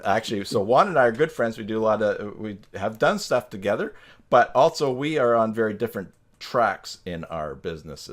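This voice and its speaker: A man's voice: lowish voice, fairly bright tone. A man hosting a tutorial live stream in a casual, folksy, joking and meandering way, speaking into a headset microphone.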